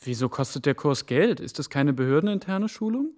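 An actor playing an earnest, polite young intern. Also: male voice, fairly high pitch, big pitch swings, a little fast, darkish tone. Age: young adult.

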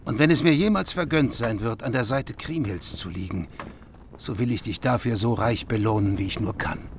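A man's voice: medium pitch, moderate pace, vocal fry, a little hiss or hum, natural voice. An actor in a radio drama playing an earnest heathen king eager to marry.

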